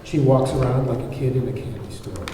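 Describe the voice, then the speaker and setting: Mellow male narrator in a very echoey hall. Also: medium pitch, fairly quick. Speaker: narrator reading stage directions. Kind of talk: scripted screenplay narration at table read. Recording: very echoey hall, far mic, faint noise.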